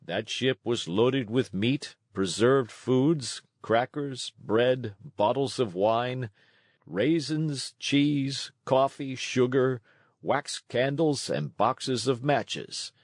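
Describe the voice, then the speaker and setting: A man voicing a weary, gentle old father with a measured, tender delivery in a storybook read-along. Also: mid-range voice, dry recording, clean studio sound. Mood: resigned. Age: older.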